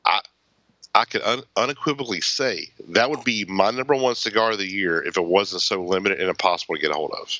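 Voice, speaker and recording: A man's voice: low voice, quick pace, bright, forceful tone, dry recording. A man co-hosting a cigar podcast, speaking with emphatic enthusiasm in casual panel chat. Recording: slightly dull lo-fi audio.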